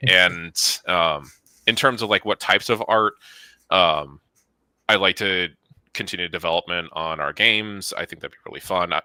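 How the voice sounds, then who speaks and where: Man, thirties, very low forceful voice, close dry sound, informal and self-effacing interviewee. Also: measured pace, clear, resonant tone, no room sound. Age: 30s. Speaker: studio co-founder and animator being interviewed. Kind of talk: casual interview chat.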